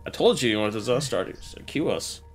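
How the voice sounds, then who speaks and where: A young man chatting casually with friends while gaming, relaxed and laid-back. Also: mid-range voice, normal speed, soft background music. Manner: relaxed, laid-back, says bro and dude.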